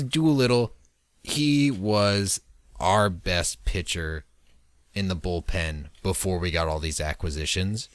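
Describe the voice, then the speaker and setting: Deep-voiced young man chatting sports. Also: a bit bright, smooth, dry recording, studio quality. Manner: joking, rambling, gets worked up. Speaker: podcast co-host. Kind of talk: casual sports chat.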